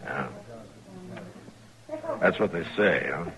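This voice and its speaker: An actor playing a weary, firm American frontier marshal with a gruff voice in an old-time radio western. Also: male voice, low voice, animated, slow, a crowd in the background, full character voice. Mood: guarded. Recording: noisy old radio recording.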